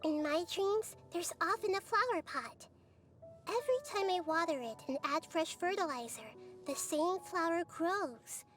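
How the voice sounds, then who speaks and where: Gentle young goddess for a game: tiny, extremely high voice, wise beyond her looks, curious, thoughtful, soft-spoken, light music underneath. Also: animated, unhurried, heavily acted. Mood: dreamy, eager. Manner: soft-spoken, reflective, precise, storybook phrasing. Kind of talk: scripted game character monologue.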